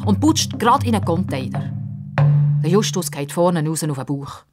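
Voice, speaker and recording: A woman's voice: on the low side, very animated, normal speed, slightly rough, faint music behind. A woman with a Swiss accent narrating a children's story expressively.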